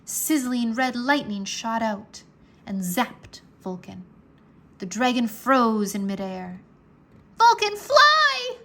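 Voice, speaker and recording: A woman narrating a children's adventure story aloud in an expressive, measured, dramatic storytelling manner. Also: medium pitch.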